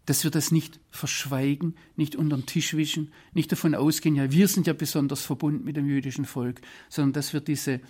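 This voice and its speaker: A man from Swabia, giving earnest, deliberate interview answers. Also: fairly high pitch, fairly even intonation, a little mellow. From Swabia, Germany. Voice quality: husky. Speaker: interview guest.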